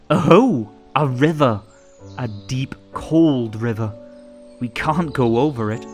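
A man reading a children's story aloud in a theatrical, rhythmic and expressive way. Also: medium pitch. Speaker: storybook narrator. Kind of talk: animated children's read-aloud. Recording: music under the voice. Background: music.

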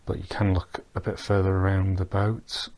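Man, deep voice, monotone, casual tour guide voice-over, rambling, ums and restarts. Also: moderate pace. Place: recorded while wearing VR goggles, not on the boat.

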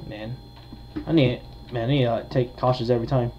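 A man giving casual, hesitant gameplay commentary with frequent pauses. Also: mid-range voice, fairly even intonation. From United States. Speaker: gamer, video creator. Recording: dull lo-fi mic, faint noise.

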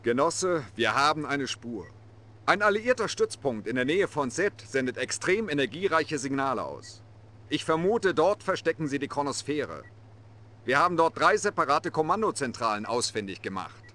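An intense, animated Soviet officer. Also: male voice, a little high, moderate pace. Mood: earnest briefing. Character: stern, dutiful Soviet military officer advising the dictator. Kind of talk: video game cutscene acting, German dub.

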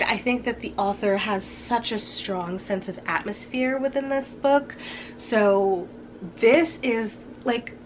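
Young woman, husky from a cold, upbeat and chatty, book talk to camera, faint hiss behind. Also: mid-range voice. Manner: chatty, upbeat, laughs between sentences. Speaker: book vlogger. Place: room with bookshelves behind.

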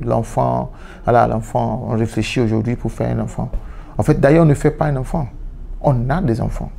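Male, 30s, Yoruba first language; gravelly, mellow tone; halting, reflective interviewee on a sofa, light hiss. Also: medium pitch. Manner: reflective, with frequent restarts and repeated words. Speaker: musician. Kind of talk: interview answers. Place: indoor room, seated on a sofa.

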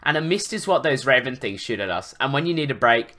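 A man's voice: mid-range voice, lively intonation, a little fast, sharp tone. A man voicing a thirteen-year-old dragon rider, urgent and commanding, in an amateur storybook reading.

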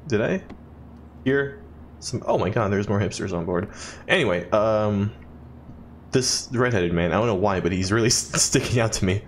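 A man's voice: fairly low pitch, animated, moderate pace, long pauses, slight background noise. A young man giving casual video game commentary as a let's play host, rambling with wry asides.

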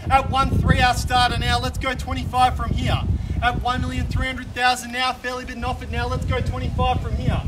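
Australian male auctioneer, 30s, very high pitch, persuasive repetitive bid-calling, recorded outdoors from a distance with loud street noise. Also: completely flat delivery. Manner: brisk persuasive auction patter, repeating the figures. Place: outdoors on a suburban street in front of a house. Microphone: camera filming from some distance, no mic seen.

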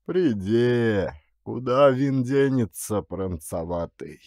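Storybook coachman, played by a male reader: Ukrainian accent, unhurried, laconic, unflappable, dry close sound. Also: medium pitch, animated, a little mellow, dry recording. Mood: lazy, calm. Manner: lazy, slow, laconic. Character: sluggish, unflappable Ukrainian coachman, adult man.

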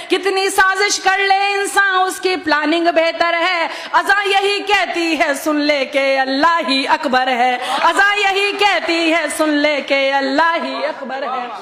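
A woman's voice: very high voice, takes it slowly, few pauses, bright, forceful tone, a faint crowd. A middle-aged woman poet reciting verse on stage at a podium microphone, declamatory and emphatic, repeating couplets to the audience. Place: stage at a public gathering with podium. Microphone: stand microphone on podium.

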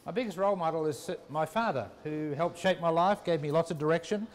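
A middle-aged man with an Australian accent giving measured, unscripted interview answers with some hesitant phrasing. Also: fairly high pitch. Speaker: business school dean, interviewee. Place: walking through a university building. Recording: echoey indoor hallway.

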